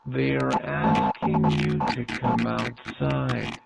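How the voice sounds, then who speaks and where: A man voicing an ominous emergency broadcast announcer in an analog horror piece, stern and formal with a gravelly voice. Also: medium pitch, sing-song, slow pace, loud music behind the voice, exaggerated voice. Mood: eerie, coaxing. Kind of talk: scripted emergency alert narration, analog horror.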